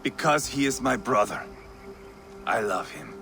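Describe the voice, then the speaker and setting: A restless, battle-hungry Viking warrior in a game cutscene. He talks bluntly and with swagger in a bright, forceful, animated voice, over faint music. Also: on the low side, unhurried, vocal fry, full character voice. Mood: earnest, fierce. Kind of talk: video game cutscene acting.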